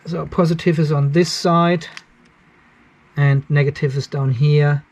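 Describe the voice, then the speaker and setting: A man's voice: on the high side, steady tone, a little slow, a little mellow. A man, hosting a hands-on workshop demo and explaining each step while he works in a cluttered garage workshop, filmed on a handheld camera held close. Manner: explains step by step while working, thinking aloud. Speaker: channel host.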